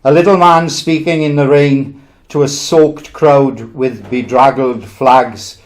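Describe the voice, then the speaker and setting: A man's voice: mid-range voice, steady tone, takes it slowly. Man reading poetry at open mic. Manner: chatty aside, then reading in a raised declaiming voice. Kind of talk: introducing and reading a poem aloud.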